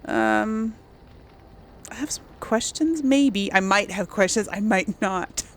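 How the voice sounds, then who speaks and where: A woman's voice: medium pitch, takes it slowly, a little hiss or hum. A woman hosting a casual game role play, musing and thinking aloud. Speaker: gamer, series host.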